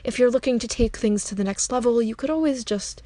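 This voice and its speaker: A woman's voice: fairly high pitch, brisk, dark tone, no room sound, lightly acted. A woman playing a breezy, folksy call-in host giving matter-of-fact advice in a comic radio drama. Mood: breezy, advising. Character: breezy female radio agony aunt for vampire lovers.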